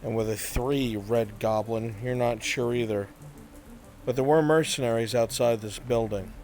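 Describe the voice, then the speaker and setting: A man's voice: medium pitch, normal speed, a little mellow. A man narrating an improvised roleplay scene to players as the game master.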